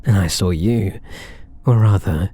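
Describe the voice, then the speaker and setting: A man's voice: low voice, a little slow, dark tone, slightly rough. A British man voicing a possessive, smug, doting catboy cat burglar in an intimate ASMR roleplay, coaxing and teasing with theatrical endearments. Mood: smug, confiding.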